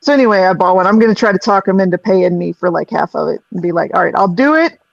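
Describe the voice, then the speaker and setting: A woman with a low voice, a singer who also runs live sound, chatting loosely on a group call with lots of restarts. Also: expressive, fast talker, vocal fry, dull audio. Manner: rambling, restarts, self-deprecating laughs. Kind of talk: casual group video-call chat.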